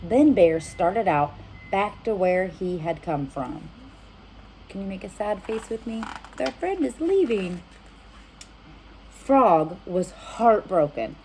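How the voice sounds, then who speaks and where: A woman's voice: mid-range voice, expressive, slow, dark tone, a little hiss or hum, poor audio. A woman reading a picture book aloud as a children's storyteller, speaking directly to young listeners. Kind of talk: animated picture-book narration with asides to children.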